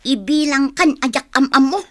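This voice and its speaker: High-voiced rebellious Ilocano schoolgirl, acted by a woman. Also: moderate pace, no room sound, clean studio sound, natural voice. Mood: angry, defiant. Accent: Ilocano Filipino. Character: rebellious, resentful teenage schoolgirl. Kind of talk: Ilocano radio drama acting.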